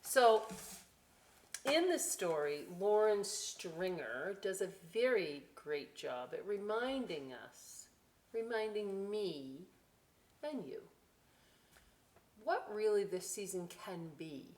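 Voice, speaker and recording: A woman reading aloud to children as a story time host, expressive and drawn out, with chatty conversational asides. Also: mid-range voice, slow. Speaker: library story time reader.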